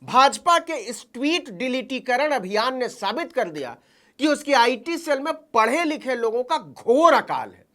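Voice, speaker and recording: A woman's voice: high-pitched, very animated, a little slow, fairly bright tone. A woman delivering satirical political commentary as a news commentator, with a mocking, theatrical delivery.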